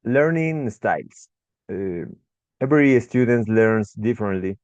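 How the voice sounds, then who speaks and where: A young man with an Ecuadorian Spanish accent gives a short class presentation as an English tutor, pausing often with fillers and restarting words. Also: fairly low pitch, expressive, a little mellow, no room sound, lo-fi sound. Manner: halting, frequent restarts and fillers.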